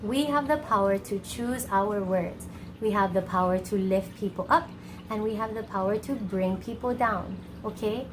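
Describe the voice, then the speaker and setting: A woman from Hawaii hosting a children's storytime, expressive and encouraging, speaking colloquially to young listeners. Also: mid-range voice, normal speed, background noise.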